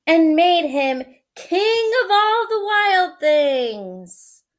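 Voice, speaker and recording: A woman reading a children's picture book aloud expressively, drawing out phrases and adding simple asides for young listeners. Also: very high-pitched. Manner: expressive, drawn-out delivery. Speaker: storybook reader.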